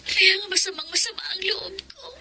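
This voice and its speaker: A woman's voice: very high-pitched, fairly even intonation, fairly quick. An actress playing a sorrowful young mother, plaintive and wounded, in a Tagalog radio drama. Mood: hurt, subdued. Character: sorrowful, pleading young mother rejected by lover.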